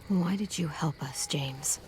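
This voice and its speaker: Mellow, wary teenage girl survivor. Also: low voice, a little slow, a little hiss or hum, poor audio. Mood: wary, curious. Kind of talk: naturalistic video game drama acting.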